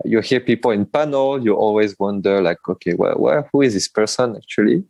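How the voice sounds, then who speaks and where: A man's voice: on the low side, a little fast, vocal fry, dark tone, no echo. A man hosting a podcast, talking in a rambling way with restarts and laughs over a video call. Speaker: podcast host. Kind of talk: casual interview chat. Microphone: remote video call.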